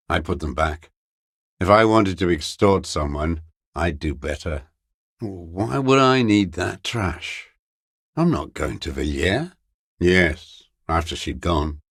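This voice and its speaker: A man playing a bitter, hateful old communist deserter in a video game, brooding and contemptuous, with a gravelly, raspy voice and halting delivery. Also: sits low, a bit bright, dry recording, clean studio sound, heavily acted. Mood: defensive. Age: older. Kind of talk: video game character monologue.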